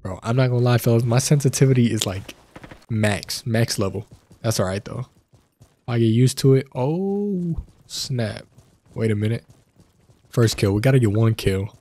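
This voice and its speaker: A man with a stuffy nose from a cold, hosting casual gameplay commentary, chatty and prone to excited outbursts. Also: medium pitch, moderate pace, slight background noise. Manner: chatty, addresses viewers as fellas, excited outbursts. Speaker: gaming channel host. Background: faint game gunfire.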